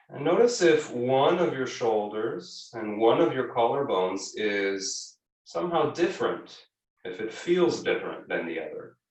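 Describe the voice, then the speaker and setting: A man teaching a guided yoga class, giving gentle, measured instructions with frequent pauses. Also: medium pitch. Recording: echoey room, distant microphone.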